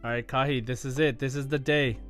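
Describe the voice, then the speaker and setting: Man, average pitch, podcast host, casual gaming talk, faint music behind. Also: fairly even intonation, brisk, a bit bright.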